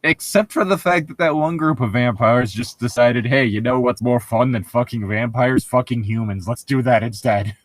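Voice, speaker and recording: A man's voice: medium pitch, moderate pace, few pauses, dry recording. A man co-hosting a casual book commentary, swearing freely and rambling through his explanations with plenty of "like". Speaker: podcast co-host reading and critiquing a book.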